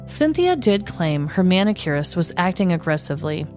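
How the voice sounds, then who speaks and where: A woman speaks as a podcast co-host and storyteller. Her pitch sits a little low for a woman, and soft music can be heard behind her. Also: sing-song, normal speed. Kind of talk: scripted storytelling narration, then casual chat.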